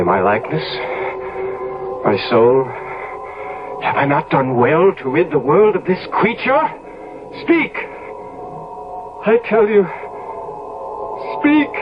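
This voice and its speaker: An actor playing an eternally youthful, corrupted and bitter gentleman killer in 1970s radio drama, with a theatrical, deliberate, sardonic delivery. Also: sits high, background noise. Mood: feverish horror. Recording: dull vintage radio recording.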